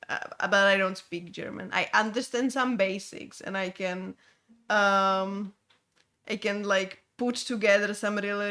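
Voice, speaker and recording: Slow-talking Czech-accented woman streamer. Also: medium pitch, bright, forceful tone. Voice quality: halting, many fillers and restarts. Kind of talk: casual gameplay chat.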